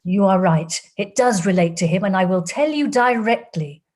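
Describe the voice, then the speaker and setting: A woman's voice: mid-range voice, lively intonation, moderate pace, darkish tone, close to an everyday voice. A woman voicing a trembling, urgent older lady. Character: anxious gentle older woman confiding news.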